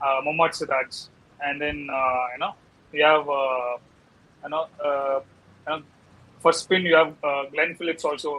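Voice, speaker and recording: Casting: South Indian male cricket panelist, Kannada native, high voice, bright tone, casual analysis peppered with fillers. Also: steady tone, moderate pace, pauses often, a little hiss or hum, low-quality recording. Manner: repeats "you know" as filler.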